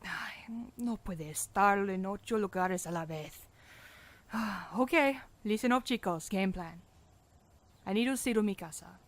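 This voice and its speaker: A woman's voice: medium pitch, unhurried, close to an everyday voice. Firm, motherly medic played by a woman. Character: caring, firm female medic leader mixing in Spanish. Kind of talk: audio drama acting.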